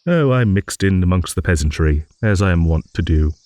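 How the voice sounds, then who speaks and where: A man's voice: low voice, animated, normal speed, no echo. A man voicing a rambling old dragon, genial, wistful and a little vain, in an improvised fantasy audio monologue. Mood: playful storytelling. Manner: rambling, musing, with hums and soft chuckles.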